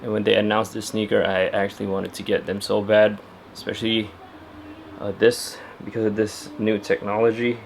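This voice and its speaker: A man's voice: on the low side, level tone, normal speed, creaky, slight background noise. A young man talking casually to camera at home about his sneakers, chatty and laid-back with lots of fillers. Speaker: sneaker vlogger. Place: at home indoors.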